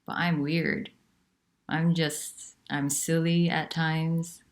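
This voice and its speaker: A woman teaching about nonduality, talking in a soft, unhurried and candid way with pauses. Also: low voice, level tone. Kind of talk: reflective spiritual talk.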